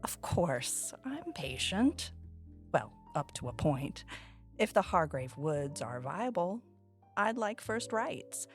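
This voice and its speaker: A smooth, animated, entitled land developer. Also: female voice, on the low side, slow pace, faint music behind. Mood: coolly pressing. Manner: polished, self-assured, theatrical persuasion. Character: poised, assertive female land developer, smoothly persuasive and entitled. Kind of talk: audio drama acting.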